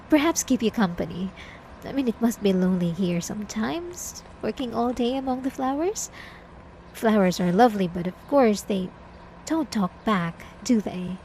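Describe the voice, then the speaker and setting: A woman playing a sweet, devout, obsessive young church girl in an ASMR roleplay, speaking haltingly with apologetic, feigned innocence. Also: a little high, darkish tone, slight background noise, rough recording. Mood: shy, hopeful. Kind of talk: ASMR roleplay drama, addressed to the listener.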